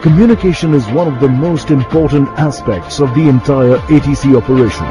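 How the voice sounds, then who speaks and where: A man's voice: mid-range voice, brisk. A man delivering scripted documentary narration, as a television narrator. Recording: loud music bed under the voice.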